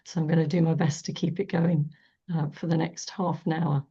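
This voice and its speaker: Croaky-voiced woman hosting a webinar. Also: sits low, level tone, a little fast. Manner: warm, chatty, many fillers. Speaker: webinar host. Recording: dull lo-fi webinar audio.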